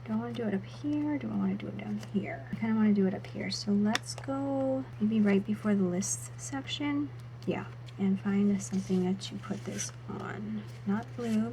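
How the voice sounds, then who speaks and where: A woman vlogger narrating her planner setup in a soft, half-whispered voice, her throat still a little sore. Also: medium pitch, noisy background. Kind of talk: casual voice-over while setting up a planner.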